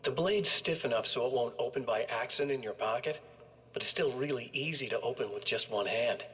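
A man's voice: medium pitch, a little fast, fairly bright tone, lightly acted. A man playing a sadistic evil-twin killer in a video game, chatting with smug, casual menace. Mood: smug relish. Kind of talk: video game monologue acting.

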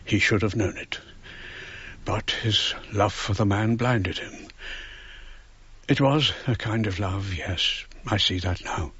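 Very husky, reflective old man. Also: lowish voice, slow, a bit bright, no echo, muffled recording, exaggerated voice. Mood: reflective regret. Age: older. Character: Old Sir Arthur, regretful, reflective elderly man looking back. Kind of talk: dramatic monologue in radio play.

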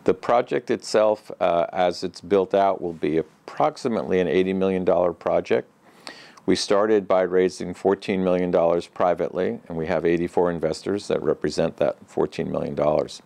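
An older man answering interview questions in an office, speaking in a measured, matter-of-fact way with frequent fillers and restarts. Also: sits low, creaky, warm tone, no room sound. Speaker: regional center president, interview guest. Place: office with bookshelves, indoors. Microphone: lapel mic.

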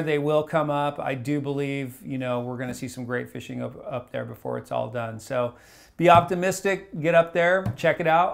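A man in his forties giving a casual fishing report to camera in a small wood-paneled room, relaxed and rambling with lots of fillers and restarts. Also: mid-range voice, gravelly. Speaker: fly shop fishing reporter.

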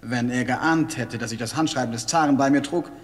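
An actor playing a composed, deferential courier officer, reporting formally in a German audio drama. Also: male voice, medium pitch, brisk, natural voice. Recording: long hall reverb.

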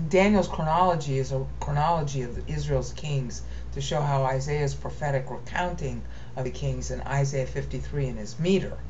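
A low-pitched American woman lectures over a screen-share, thinking out loud and pausing to ask "okay?". She is recorded on a dull, lo-fi desktop mic with faint hiss behind her. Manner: thinking aloud, rambling, frequent "okay?" checks. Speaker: Bible teacher.

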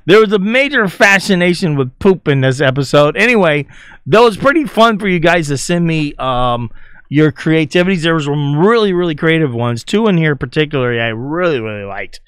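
A man hosts a casual reaction video in a fairly high voice. His talk is informal and full of slang, and the recording is dry and close. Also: animated, brisk, fairly bright tone, clear, resonant tone, no echo. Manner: informal, slangy, often chuckling. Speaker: video host.

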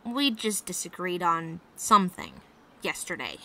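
A woman's voice: lowish voice, wildly swooping pitch, unhurried, vocal fry, pushed and bright, exaggerated voice. A woman acting an earnest young girl in an audio drama. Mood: uneasy. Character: earnest young girl, one of Puerto Rican twins.

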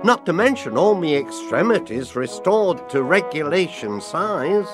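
A cheese-loving cartoon inventor, middle-aged and chirpy. His Northern English speech is unhurried and bouncy, with stretched homely vowels, over clear music. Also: fairly high pitch, sing-song. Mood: cheerful, musing. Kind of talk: animated comedy game cutscene acting. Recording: music behind the voice.

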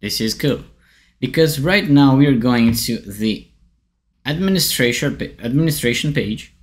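A man in his thirties from Brazil, with a Brazilian Portuguese accent, giving a friendly software tutorial from a bright indoor room. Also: mid-range voice, lively intonation, unhurried. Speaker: tech writer demonstrating software.

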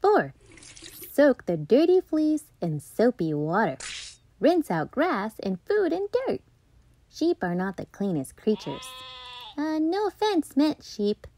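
A woman reading a children's picture book aloud as a storytime host, playful and deliberate, with dramatic emphasis. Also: sits high, sing-song, mellow. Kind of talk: expressive children's read-aloud narration.

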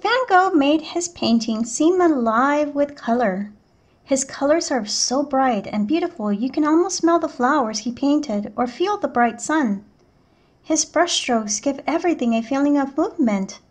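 High-pitched female teacher, narrating a picture book expressively for children. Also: animated, unhurried. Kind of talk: children's read-aloud narration.

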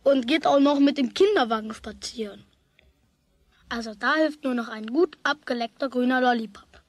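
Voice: high voice, normal speed, dry recording, lightly acted. An actor playing a stubborn, jealous schoolboy in a children's radio play, grumbling indignantly to himself. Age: child figure.

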